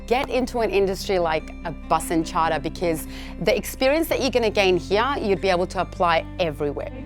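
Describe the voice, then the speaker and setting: A woman's voice: fairly low pitch, lively intonation, fairly quick. A woman working at a bus and charter company, speaking in an enthusiastic, encouraging way. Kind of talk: interview soundbite for a promo video. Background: background music.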